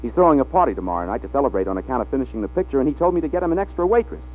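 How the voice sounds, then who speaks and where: An actor playing an easygoing, kindly movie-studio pal in a 1940s radio drama, on a tinny, humming recording. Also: male voice, mid-range voice, sing-song, rapid-fire, slight background noise, lightly acted. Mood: eager.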